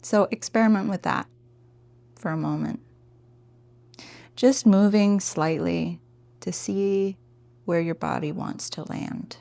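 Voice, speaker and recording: A woman teaching a guided meditation in a gentle, informal, encouraging way. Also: mid-range voice, sing-song, slow pace, vocal fry, long pauses, no room sound.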